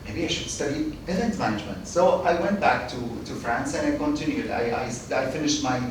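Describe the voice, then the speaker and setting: A man's voice: mid-range voice, expressive, fairly quick. Male podcast guest, thirties, from Kuwait; rambling, laughing storyteller recorded distant in a noisy echoing room. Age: 30s. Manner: chatty, restarts and stumbles, laughs often. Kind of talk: casual interview chat.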